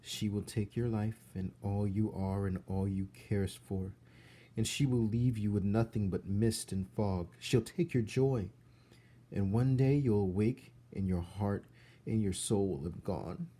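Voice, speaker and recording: A man voicing sad, faint ghost children in a storybook, with drawn-out, old-fashioned speech. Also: on the low side, dark tone, slightly rough. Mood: faint, grim. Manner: drawn-out, archaic wording.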